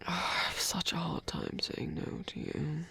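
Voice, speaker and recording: A man playing a wary, self-doubting shapeshifting lover in an intimate ASMR roleplay, speaking haltingly in a breathy voice that often drops toward a whisper. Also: a little high, full character voice. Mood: self-doubting, reluctant. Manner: hesitant, halting, sighing, softly self-deprecating.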